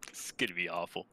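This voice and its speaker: A man chatting casually during a multiplayer game, laid-back and jokey, quick to laugh. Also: sharp tone. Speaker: player in a group game stream. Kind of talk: casual chat while gaming.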